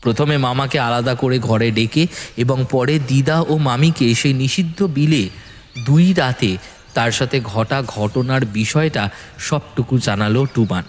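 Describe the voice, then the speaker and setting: A man's voice: medium pitch, measured pace, a little hiss or hum. A man narrating a scripted horror audio story in a suspenseful, theatrical way.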